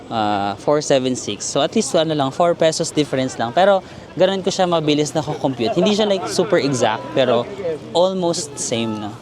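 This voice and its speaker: A man's voice: on the high side, lively intonation, fairly quick, crowd noise. A man from the Philippines with a Filipino accent, a travel vlogger chatting casually and laughing often, mixing Tagalog and English in a hostel common area. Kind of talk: casual vlog chat.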